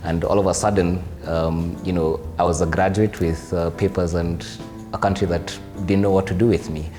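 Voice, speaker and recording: A man in his thirties with a deep, level voice tells his story articulately and steadily. Music sits clearly under him. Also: little pitch movement, moderate pace. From Kenya. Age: 30s. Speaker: animator and storyteller. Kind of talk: interview testimonial. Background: background music.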